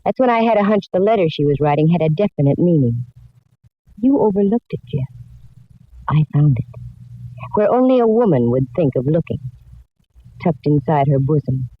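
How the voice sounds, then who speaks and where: Low-voiced, shrewd female radio detective. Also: lively intonation, normal speed, long pauses, slightly rough, no room sound. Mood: confident, revealing. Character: shrewd, wry, self-assured female private detective. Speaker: lead actress and narrator. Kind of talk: 1940s radio detective drama acting. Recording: dull vintage radio recording.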